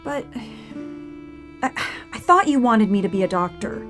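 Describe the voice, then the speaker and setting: A woman's voice: medium pitch, very animated, normal speed, a bit bright, soft background music. A woman playing a naive, eager female house cat in an audio drama, earnest and starry-eyed in manner. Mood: earnest, hurt.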